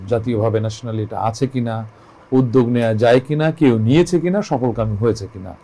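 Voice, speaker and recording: A man with a Bangladeshi accent interviewing conversationally as a show host, building his questions deliberately in stages. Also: medium pitch, dark tone.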